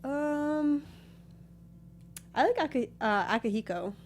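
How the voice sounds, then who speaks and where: A woman's voice: sits high, animated, slow pace. A woman chatting casually and agreeably as a co-host of a movie review show. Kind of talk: casual chat.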